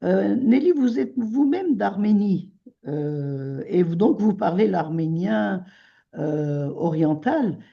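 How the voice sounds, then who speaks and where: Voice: medium pitch, expressive, vocal fry, muffled recording. A radio host asking guests questions, hesitating often. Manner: hesitant, many pauses and fillers. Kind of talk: radio interview.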